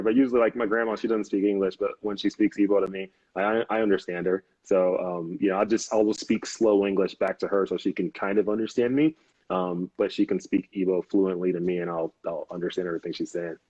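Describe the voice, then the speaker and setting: A man's voice: on the low side, steady tone, fast, a little mellow, slightly rough, dry recording. Laid-back man on a muffled call. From United States. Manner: easygoing, laughs often, many "um"s and restarts. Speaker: interview guest, Navy officer. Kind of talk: casual live interview. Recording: dull, lo-fi video call audio.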